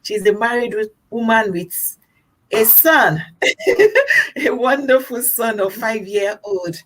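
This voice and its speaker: A woman's voice: on the high side, big pitch swings, slow, fairly bright tone. A young Nigerian woman talking casually about herself as a guest, often repeating phrases for emphasis. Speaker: talk show host appearing as guest. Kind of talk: casual personal talk.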